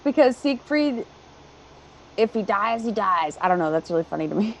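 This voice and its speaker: A woman's voice: medium pitch, expressive, moderate pace, slight background noise, rough recording. A woman playing in a tabletop game stream, giggly and playful. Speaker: player. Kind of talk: casual chat.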